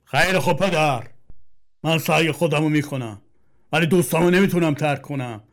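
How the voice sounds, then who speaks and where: A man's voice: fairly high pitch, lightly acted. A man voicing a lazy young son who talks back to his father in a whiny, impatient tone.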